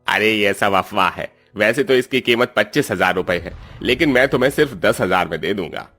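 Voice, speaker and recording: A man's voice: fairly low pitch, animated, a little fast, sharp tone. A man voicing a greedy, cruel middle-aged moneylender in a cartoon story, with a harsh, scolding, scheming way of talking.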